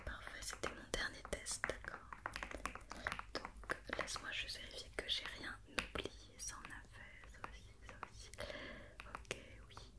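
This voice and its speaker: A woman whispering an ASMR roleplay as a doctor giving an examination, with soft, reassuring and encouraging step-by-step instructions. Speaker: ASMR performer playing an occupational doctor examining a robot.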